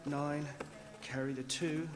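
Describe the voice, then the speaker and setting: An actor playing a timid, overworked clerk in a stage radio drama, deferential and hesitant. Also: male voice, on the high side, steady tone, slow pace, noisy background. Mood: absorbed, muttering. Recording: distant voice in reverberant theatre hall.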